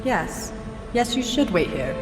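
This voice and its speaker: A woman voicing a calm, decisive party leader in an audio drama. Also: medium pitch, measured pace, loud music behind the voice. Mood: composed. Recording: echoey hall reverb.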